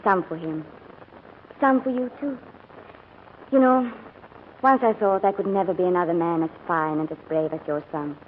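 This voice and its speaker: A woman's voice: on the low side, normal speed, long pauses, close to an everyday voice. Actress as an earnest young woman, tinny old radio. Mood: earnest, tender. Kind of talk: 1940s radio drama acting. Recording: thin, noisy old radio recording.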